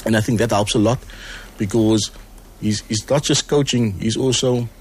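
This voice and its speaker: A man, speaking earnestly in an interview as a choir executive, with many pauses and repeated words. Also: fairly low pitch, fairly even intonation, a little hiss or hum. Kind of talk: casual interview chat.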